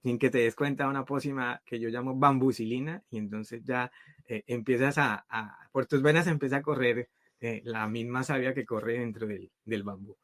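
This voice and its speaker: A middle-aged man from Colombia speaks as an interview guest, at a middle pitch, with a dry, close sound. He tells his story at length, often pausing on fillers and restarting his phrases. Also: fairly even intonation, fairly bright tone, dry recording. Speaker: guest, bamboo builder and founder. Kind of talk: casual interview talk.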